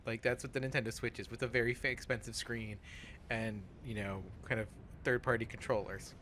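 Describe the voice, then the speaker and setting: A man of about forty chatting casually over gameplay, rambling and halting, with plenty of swearing. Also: medium pitch, little pitch movement, pushed and bright, a little hiss or hum. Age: around 40. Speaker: host of a gaming let's play podcast.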